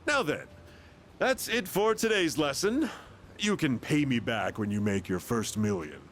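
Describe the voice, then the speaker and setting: A man's voice: mid-range voice, expressive, measured pace, a bit bright, a little hiss or hum. An actor voicing a smug, scheming male salesman who lectures in a sly, self-satisfied way. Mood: smug lecturing.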